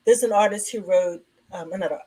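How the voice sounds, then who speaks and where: A woman hosting a casual interview, enthusiastic and often pausing with ums while she searches for words. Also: medium pitch, mellow, slightly rough, rough recording. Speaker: interview host.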